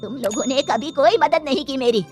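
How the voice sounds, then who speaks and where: Elderly shopkeeper mother for a cartoon tale, woman acting, fairly high voice, gentle and put-upon. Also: brisk, faint music behind, natural voice. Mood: firm, reproachful. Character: long-suffering, kindly old mother-in-law shopkeeper. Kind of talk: cartoon story dialogue.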